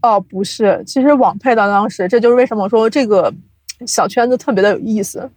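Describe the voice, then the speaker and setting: A woman speaking casually as an interview guest, explaining things in an animated, chuckle-prone way like an industry insider. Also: medium pitch, brisk, no echo. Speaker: guest, audio drama producer and company CEO.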